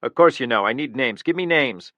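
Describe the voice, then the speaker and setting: A man's voice: medium pitch, lively intonation, brisk, fairly bright tone, no echo, pitched above the performer's own voice, natural voice. A man voicing a bitter, menacing male killer who makes threats and flares into anger, in an audiobook reading. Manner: threatening, rising to angry outbursts.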